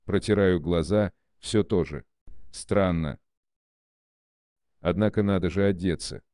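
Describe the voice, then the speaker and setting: A man's voice: low voice, unhurried, mellow, clean tone, no room sound, studio quality. A man reading narrative prose aloud in short first-person sentences.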